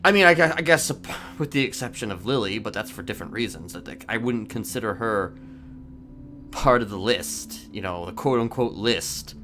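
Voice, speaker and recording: A man acts out a serial killer in a roleplay game, speaking in a lowish male voice over faint music. He is calmly boastful yet evasive, rambling and hesitating as he circles his confession. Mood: evasive, musing. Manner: halting, rambling, hesitant delivery. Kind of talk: improvised crime roleplay, confessional conversation.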